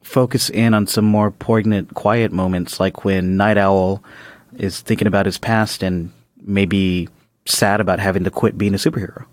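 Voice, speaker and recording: A man's voice: low-pitched, fairly even intonation, moderate pace, dry recording. A man co-hosting a podcast, casually discussing and summarizing stories in a conversational way. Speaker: podcast co-host.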